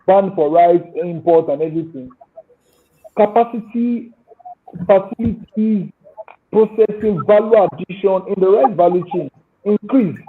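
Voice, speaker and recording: A man with a Nigerian accent, speaking as a panelist over a call and earnestly explaining his points with proverbs and examples. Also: high-pitched. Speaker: panelist, agribusiness owner. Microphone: remote call audio. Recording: tinny call line.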